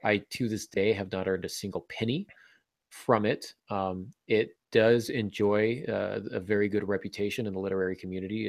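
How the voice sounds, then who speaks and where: A man's voice: fairly low pitch, dry recording. A man co-hosting a podcast, talking casually and reflectively in hesitant phrases. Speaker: podcast co-host and writer.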